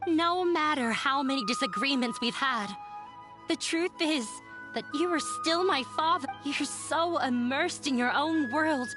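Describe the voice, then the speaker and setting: A resolute young daughter. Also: female voice, high voice, unhurried, over music. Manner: resolute, formal. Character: determined young female daughter.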